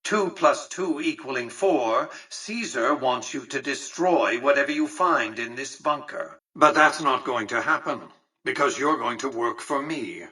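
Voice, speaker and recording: An actor playing an imperious, calculating elderly tycoon in a video game, speaking formally with precise, condescending diction. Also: male voice, mid-range voice, a little slow, pushed and bright, slightly rough, recorded from a distance, poor audio. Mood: condescending, deductive. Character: imperious elderly male tycoon ruling a casino city, coldly calculating. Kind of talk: scripted game dialogue.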